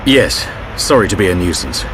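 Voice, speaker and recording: Game role: protective warrior, low-pitched, reflective, with very expressive intonation. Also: male voice, background noise. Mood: resolute. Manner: measured, reflective. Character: earnest older-brother warrior, calm and protective. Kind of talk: video game cutscene acting.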